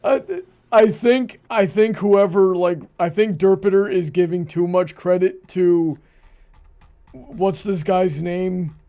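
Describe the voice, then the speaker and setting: A man's voice: high-pitched, fairly even intonation, slow, mellow. A man hosting a show with casual, incredulous and exasperated commentary. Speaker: host.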